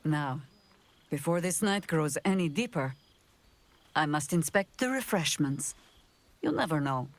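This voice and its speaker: An actress playing an older, battle-worn woman who leads freedom fighters in a video game, speaking in a measured, dry, gently wry way. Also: low-pitched, lively intonation. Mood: wry, warm. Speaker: leader of a secret order. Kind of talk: video game character acting.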